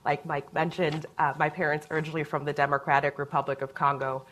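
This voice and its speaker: Low-voiced woman speaking on a panel. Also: completely flat delivery, fast, fairly bright tone. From Germany, Luxembourg and Michigan. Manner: earnest and explanatory. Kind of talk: panel discussion remarks.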